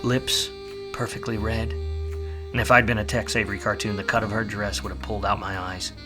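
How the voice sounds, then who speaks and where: Washed-up private eye for noir radio drama, male actor: wry, slangy, tough, lowish forceful voice, music underneath. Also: flat delivery, moderate pace, lightly acted. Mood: brooding, wry. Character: hardboiled, washed-up male private detective, wisecracking and world-weary. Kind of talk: film noir radio play, first-person narration and dialogue.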